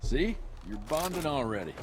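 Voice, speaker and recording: An actor playing a hard-nosed, sardonic vampire hunter in a video game. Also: male voice, fairly high pitch, big pitch swings, normal speed, music in the background. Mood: sardonic, brusque. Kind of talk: video game cutscene acting.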